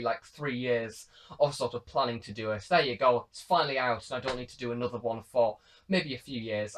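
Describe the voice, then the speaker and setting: A young British man talks informally to viewers as he presents a collection, lifting into each new item in a sing-song way and letting phrases trail off. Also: mid-range voice. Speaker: collector and reviewer presenting the vlog.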